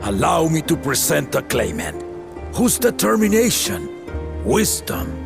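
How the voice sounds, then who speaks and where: A man's voice: fairly high pitch, huge pitch swings, unhurried, gravelly, over music, heavily acted. A man voicing a proud aging king and father in a game cutscene, giving a formal, stately ceremonial speech. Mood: solemn, stately. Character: proud aging king and father presenting his daughter as heir.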